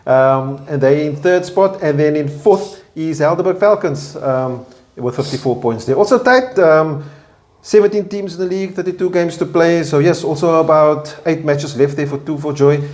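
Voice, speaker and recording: Brief: South African male host, a bit high-pitched, breezy, laughs easily. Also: darkish tone. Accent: South African English. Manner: upbeat, laughs easily, quick asides. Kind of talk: casual sports chat.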